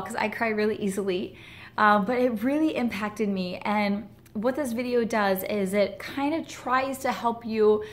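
A woman in her thirties vlogging from her living room couch, earnest and heartfelt, a little scratchy from a chest cold, with many ums and restarts. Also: medium pitch. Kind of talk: casual direct-to-camera vlog.

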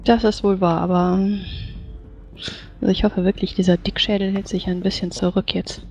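A woman's voice: mid-range voice, level tone, moderate pace, darkish tone, lightly acted. A woman playing a proper, concerned female adventurer in a tabletop game, speaking formally and politely. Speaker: player. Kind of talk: in-character tabletop roleplay. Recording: music behind the voice.

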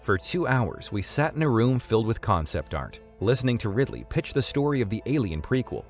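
A man's voice: medium pitch, very animated, a little fast. A man reading scripted documentary narration with background music. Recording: music under the voice.

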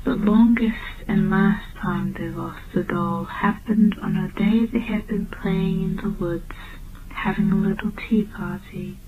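A woman narrating a dark children's tale as a storyteller, with a soft, breathy, half-whispered delivery. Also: medium pitch, steady tone, a little slow, over music. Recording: muffled, noisy old tape, phone-like band.

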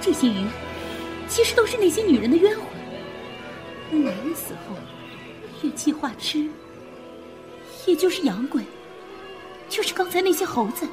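A woman's voice: very high voice, very animated, a little slow, long pauses, heavily acted. An actress playing a frightened young woman who tells of ghostly horrors in a Chinese audio drama. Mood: grim, alarmed. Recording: music under the voice. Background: dramatic music.

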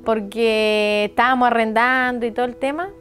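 A woman's voice: on the high side, fairly even intonation, moderate pace, a bit bright, faint music behind. A woman in her thirties from Argentina with an Argentine accent, a mechanic chatting casually about her work in an interview and acting out what people said to her. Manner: chatty, laughs easily, acts out quotes. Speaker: mechanic and business owner. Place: open shed outdoors at her home.

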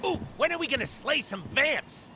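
An actor voicing a wisecracking antihero mercenary in a superhero video game, flippant and theatrical, full of rambling asides. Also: male voice, very high-pitched, expressive, normal speed. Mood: pleading, playful. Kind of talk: video game cutscene acting. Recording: loud music or ambience behind.

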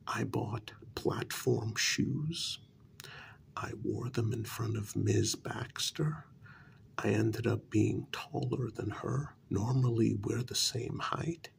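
A man playing a cajoling talent agent in a soft-spoken ASMR role-play, half-whispering gently and coaxingly, close to the microphone. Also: medium pitch, creaky, slight background noise. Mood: confiding. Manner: gentle, coaxing, deliberate with pauses. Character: short, cajoling male talent agent to monster women.

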